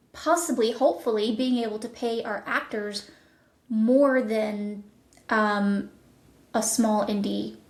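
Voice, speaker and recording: A woman speaking as an interview guest and producer, pitching a project conversationally with enthusiasm and thoughtful pauses. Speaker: podcast guest, audio drama producer. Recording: echoey, roomy sound.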